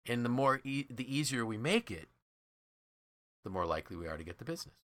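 A man's voice: mid-range voice, very animated, quick pace, fairly bright tone. An American man co-hosting a casual business podcast, explaining things through enthusiastic storytelling. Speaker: podcast co-host.

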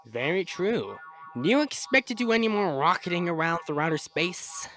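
A young man playing a proud, excitable scientist-inventor in old-time radio serial style, with a fussy, halting, stammering manner. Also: high voice, faint music behind. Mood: pleased, proud. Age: young adult. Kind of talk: 1930s radio serial acting.

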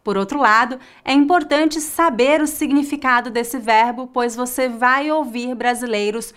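A woman with a Brazilian accent teaching a Portuguese lesson in a slow, clear, scripted way. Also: on the high side, fairly bright tone. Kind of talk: scripted online language lesson.

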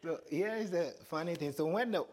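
A man whose first language is Twi, preaching an earnest sermon that builds to emphatic high points. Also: a little fast, darkish tone.

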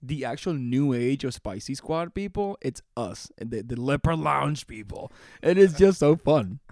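A man in his late twenties co-hosting a podcast, chatting casually and excitably, laughing and swearing often. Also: medium pitch, a little slow, no echo, clean studio sound. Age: 20s. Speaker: podcast co-host. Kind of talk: casual chat.

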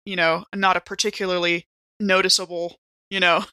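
A woman's voice: lowish voice, little pitch movement, moderate pace, pushed and bright, smooth, no echo. A woman playing a tabletop roleplaying game, chatting informally and improvising what her character does. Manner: informal, chatty, hedging with "kind of" and "like". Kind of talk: casual improvised game narration and banter.

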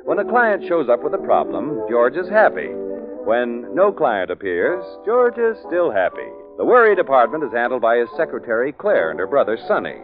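Animated 1940s radio announcer, man. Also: mid-range voice, moderate pace. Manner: polished sales delivery. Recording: tinny 1940s radio recording. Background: loud brassy music.

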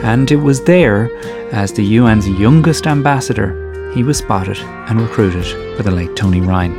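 A man's voice: lowish voice, lively intonation, normal speed. An Irish man hosting a podcast, introducing his guest with an emphatic, scripted delivery before starting the interview. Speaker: podcast host. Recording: intro over guitar music.

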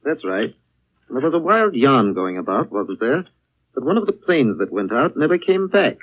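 A man playing a chatty, admiring gentleman in a 1930s radio drama. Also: mid-range voice, sing-song, normal speed, breathy edge. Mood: interested, admiring. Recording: narrow, tinny 1930s radio recording.

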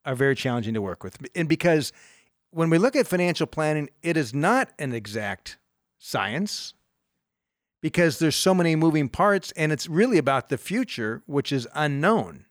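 A man's voice: on the high side, expressive, normal speed, no room sound. A middle-aged man co-hosting a radio money show, talking loosely and circling back on himself. He laughs easily. The sound is dry and close, with no room. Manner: rambling, restarts often, laughs easily. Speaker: radio show host, financial advisor. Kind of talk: casual radio talk.

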